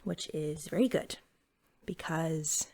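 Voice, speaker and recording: A woman doing soft-spoken ASMR roleplay as a helpful shop cashier, gentle, breathy and chatty, sometimes slipping toward a whisper. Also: deep voice. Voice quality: soft, breathy, with slight fry. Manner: gentle, unhurried, chatty shop-clerk patter. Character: eager, helpful young female pet store cashier.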